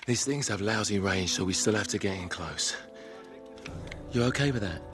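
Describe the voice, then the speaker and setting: A British actor playing a smug, sardonic thief with a dry, teasing, self-assured delivery in a video game cutscene. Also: male voice, lowish voice, normal speed, natural voice. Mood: cool, teasing. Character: smug, sardonic male thief with a sly streak. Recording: cinematic music behind the voice.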